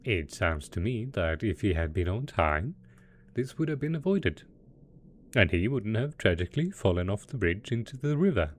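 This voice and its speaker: A man voicing a smug supervillain mad scientist, low swooping voice, theatrical, playful mock innocence, doting, roleplay audio. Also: very animated, moderate pace, slight background noise. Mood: feigned innocence. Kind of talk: scripted roleplay audio drama.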